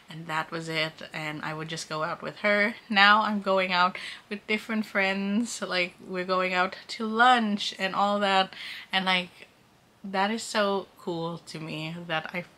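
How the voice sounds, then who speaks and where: A woman's voice: on the low side, pushed and bright. A woman in her thirties chatting casually to camera in a vlog, giggly and filling her talk with "like". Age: 30s. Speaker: vlogger.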